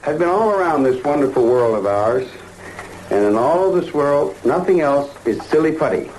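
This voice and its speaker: Male 1950s TV pitchman, swooping lively delivery, slightly high voice, slight noise on vintage audio. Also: moderate pace, poor audio. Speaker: television commercial pitchman.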